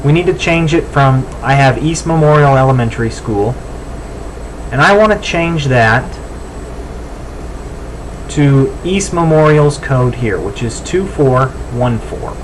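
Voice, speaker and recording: A man's voice: medium pitch, measured pace, rough recording. American man giving instructions over background noise. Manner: informal, step-by-step. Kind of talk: software walk-through tutorial.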